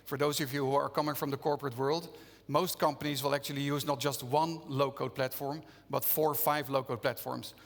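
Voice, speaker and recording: A man's voice: medium pitch, fairly bright tone. A man from the Netherlands with a Dutch accent, giving a conversational conference talk and putting questions to the audience. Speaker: conference speaker. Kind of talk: conference keynote presentation. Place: conference venue stage. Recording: long reverb of a conference hall.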